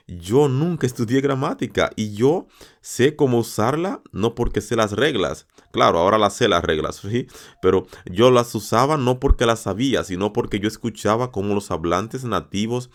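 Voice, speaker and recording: A man with a very low voice hosts a lesson podcast for learners of his language. He addresses his listeners directly and encourages them. He repeats words and phrases for emphasis. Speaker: Spanish teacher and podcast host.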